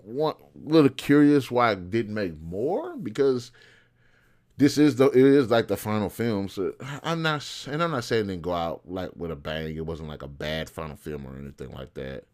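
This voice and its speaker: A man with a fairly low voice talks off the cuff as a commentator. His delivery is casual and blunt, with strong opinions and points said over again for emphasis. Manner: blunt and opinionated, with mild swearing. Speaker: host and commentator. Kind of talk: casual unscripted film commentary.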